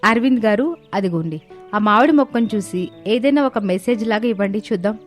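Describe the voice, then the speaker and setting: A woman voicing an earnest, expressive young sister in a dramatized cartoon moral story. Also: mid-range voice, fairly quick, natural voice. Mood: eager. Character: young sisters, eager amateur video makers. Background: background music.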